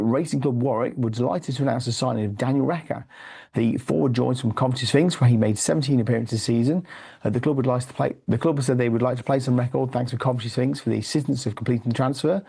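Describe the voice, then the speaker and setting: Guy with a cold, croaky dark voice, uhs everywhere going through footy results. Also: mid-range voice. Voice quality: hoarse, gravelly. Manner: many "uh" fillers and restarts. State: losing his voice from a cold. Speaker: football league reviewer and commentator. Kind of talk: weekly football review, read from notes.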